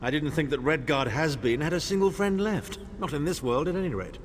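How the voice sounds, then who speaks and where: A man's voice: on the high side, brisk, slight background noise, close to an everyday voice. An actor playing a pompous, scornful older male imperial chancellor in a video game, with a haughty, theatrical, condescending manner. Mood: smug, mocking. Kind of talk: video game character acting.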